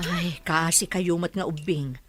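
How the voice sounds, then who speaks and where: A woman's voice: lowish voice, fairly even intonation, unhurried, fairly bright tone, a little hiss or hum. A woman playing a kindly, outspoken neighbour in an audio drama, concerned and full of questions. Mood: sympathetic. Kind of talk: audio drama acting in Ilocano.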